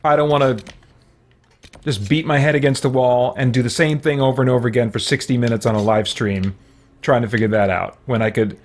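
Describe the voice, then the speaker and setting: A man's voice: medium pitch, fairly even intonation, brisk. A man giving casual gameplay commentary on a livestream, thinking aloud in a rambling, hesitant way and chatting with viewers.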